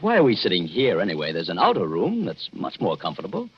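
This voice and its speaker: An actor playing a skeptical young newlywed husband, wry and exasperated, in 1940s radio style. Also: male voice, low-pitched, huge pitch swings, quick pace, slightly rough, exaggerated voice. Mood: uneasy, grumbling. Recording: muffled, noisy old radio recording.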